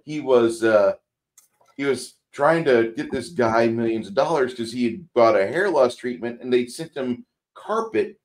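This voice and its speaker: A man's voice: mid-range voice, animated, unhurried. A man co-hosting a casual movie review over a video call, telling long rambling stories with wry humor. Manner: rambling storyteller, wry, hesitant with fillers. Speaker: co-host.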